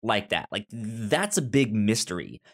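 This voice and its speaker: A man co-hosting a casual film podcast, speaking haltingly and often stuttering over repeated words. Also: on the low side, very animated. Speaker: film review podcast co-host. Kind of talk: casual chat.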